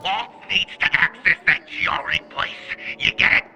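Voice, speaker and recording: An actor playing a gruff, agitated renegade henchman in a video game, with a gravelly, strained voice and forceful delivery. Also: on the high side, unhurried, soft background music, full character voice. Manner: forceful, swearing.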